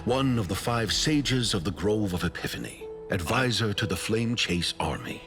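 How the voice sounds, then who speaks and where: An actor playing an eloquent sage and army advisor in a video game, formal, courteous and encouraging. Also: male voice, on the low side, animated, normal speed. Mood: courteous greeting. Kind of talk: video game character acting. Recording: game music behind.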